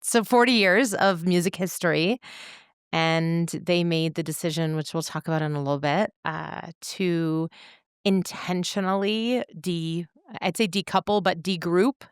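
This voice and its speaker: Woman, lowish pitch, clean resonant voice, dry sound, casual podcast talk, deliberate wording. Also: fairly even intonation, clear, resonant tone, dry recording. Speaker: podcast co-host.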